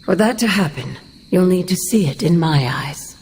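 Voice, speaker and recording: An actress playing a wise, caring sorceress spirit mentor with measured, solemn delivery in a video game cutscene. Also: female voice, fairly low pitch, expressive, darkish tone, slight background noise. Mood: warm, resolute. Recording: music behind the voice.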